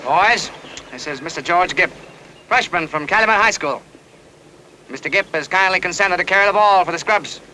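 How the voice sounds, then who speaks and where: Brisk old-film football coach, high and very sharp, noisy soundtrack. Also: male voice. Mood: brisk, announcing. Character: brisk male football coach introducing a new player.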